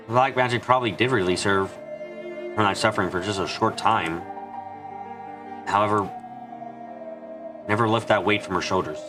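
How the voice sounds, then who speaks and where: A man giving an earnest amateur dramatic reading of a visual novel, halting for dramatic pauses. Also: fairly low pitch. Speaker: amateur fan dubber. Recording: music behind the voice. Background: background music.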